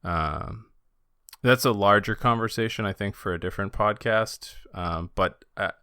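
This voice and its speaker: A man's voice: on the low side, unhurried, pushed and bright, clear, resonant tone, no room sound, studio quality. A man co-hosting a podcast in a casual, conversational style about fan topics. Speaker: podcast co-host.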